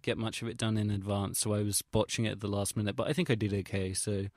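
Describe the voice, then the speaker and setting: Low-voiced young English man, casual and rambling. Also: little pitch movement, quick pace, darkish tone, no echo, studio quality. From England. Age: young adult. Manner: rambling, hesitant, self-deprecating; informal, sweary, slangy. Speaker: vlogger. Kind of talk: casual retrospective audio log.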